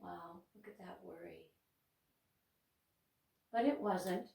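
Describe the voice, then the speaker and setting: Middle-aged woman, Upper Midwest American accent, unhurried and expressive children's storyteller, recorded off-mic in an echoey room. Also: on the low side, gravelly, distant mic. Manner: gentle, slow and expressive, pausing to explain pictures. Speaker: children's librarian hosting storytime. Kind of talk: reading a picture book aloud to children. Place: church room. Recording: roomy sound, mic set back.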